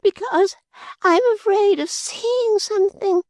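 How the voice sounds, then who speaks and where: A man's voice: very high voice, slow, a little mellow, dry recording, voice pushed up. A man reading an audiobook, voicing a frightened, superstitious servant woman with rustic, ungrammatical speech. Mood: fearful. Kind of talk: audiobook character voice.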